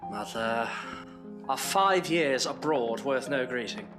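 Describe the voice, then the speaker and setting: A man playing a weary, earnest Victorian gentleman in an audio drama, formal and probing. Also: medium pitch, expressive, slow pace, music in the background.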